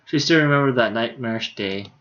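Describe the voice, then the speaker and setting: A man's voice: medium pitch, a little fast, a bit bright. Man reading aloud, slightly dull audio. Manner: casual, stumbles over words, swears in asides. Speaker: game streamer. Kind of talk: reading a visual novel aloud on a livestream.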